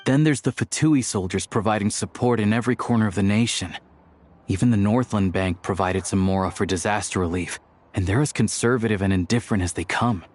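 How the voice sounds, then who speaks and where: An actor playing a level-headed, earnest young man in a video game, explaining things in a measured way. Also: lowish voice, close to an everyday voice.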